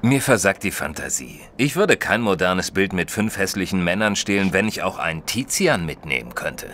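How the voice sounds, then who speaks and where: An actor playing a modest, sensible male companion who narrates a detective audio drama in a measured, literate way. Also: low voice, expressive, a bit bright, a little hiss or hum. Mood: mildly puzzled. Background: music.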